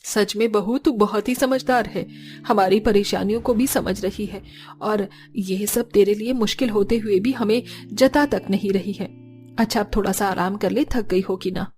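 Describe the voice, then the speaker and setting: A woman's voice: mid-range voice, moderate pace, a little mellow, soft background music, lightly acted. A woman voicing a kindly, understanding older village mother-in-law in a cartoon story. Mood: approving.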